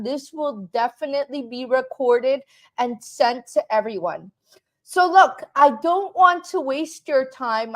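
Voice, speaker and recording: Woman from Canada, high voice, unhurried delivery; teacher leading a live online class. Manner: repeats "right?" to check in with viewers. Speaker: English teacher.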